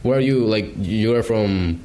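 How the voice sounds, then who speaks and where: A man from Argentina pitching agency services on a video call, hesitant and full of fillers. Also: measured pace, dark tone, a little hiss or hum. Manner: hesitant, filler-heavy, casual. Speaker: beginner agency salesman.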